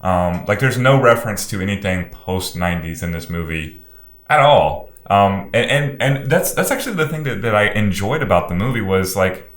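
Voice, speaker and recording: A man with a very low voice recorded in an echoing room. He co-hosts a casual film discussion, explaining his points in a measured way. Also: lively intonation, fairly bright tone. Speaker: podcast co-host.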